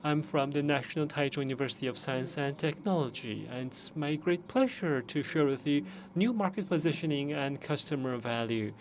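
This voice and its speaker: Man interpreting forum talks, fairly high. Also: slight background noise. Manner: measured, with frequent pauses and restarts. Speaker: conference interpreter. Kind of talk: simultaneous interpretation of forum speeches.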